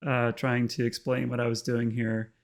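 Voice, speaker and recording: Slightly hoarse male host, gravelly, mid pitch, chatty tutorial read with lots of ums. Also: completely flat delivery, normal speed. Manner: chatty, full of ums and uhs. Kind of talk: casual screen-recorded tutorial narration.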